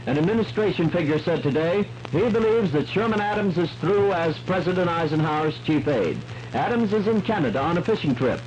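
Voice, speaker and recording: 1950s radio newsman, highish voice, noisy recording. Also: darkish tone, dull audio. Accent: American. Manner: formal announcer delivery. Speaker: radio newscaster. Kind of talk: 1950s radio news reading, scripted. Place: radio studio.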